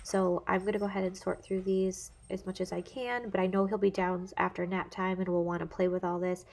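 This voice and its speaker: A woman's voice: lowish voice, brisk, vocal fry. A woman in her thirties, a home vlogger, chatting casually and filling her talk with "like" and "honestly". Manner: chatty, relaxed, many "like" and "honestly" fillers. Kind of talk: casual chat.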